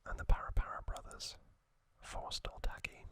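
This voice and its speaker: A man hosting an ASMR channel, whispering an informal, unhurried explainer in a hushed voice. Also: no echo. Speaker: host of an ASMR trivia channel.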